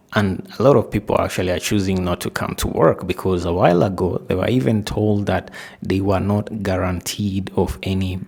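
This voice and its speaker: A man's voice: low voice, vocal fry, a little mellow. A man giving casual news commentary as a video host, talking straight to viewers with rhetorical questions. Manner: conversational, addresses viewers directly with rhetorical questions.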